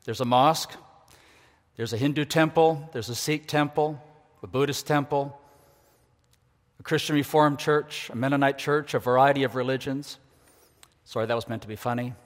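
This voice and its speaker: A man's voice: mid-range voice, steady tone. Older man preaching in a reverberant hall. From Ecuador. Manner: earnest, measured, reflective. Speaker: guest preacher, university leader. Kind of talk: sermon. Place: church sanctuary. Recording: long reverb of a large hall.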